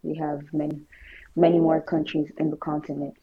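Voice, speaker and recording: A woman's voice: sits low, level tone, fairly quick, slightly rough, muffled recording. A young woman from Nigeria speaking as a guest in a casual podcast conversation, explaining things conversationally with personal anecdotes. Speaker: interview guest, student.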